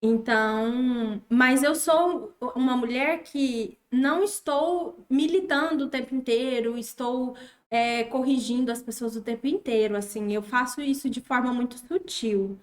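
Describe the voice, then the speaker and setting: A young woman from Tocantins in her twenties, a teacher, talking in a casual interview and pausing thoughtfully as she speaks. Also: a little high. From Tocantins, Brazil. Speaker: guest, teacher.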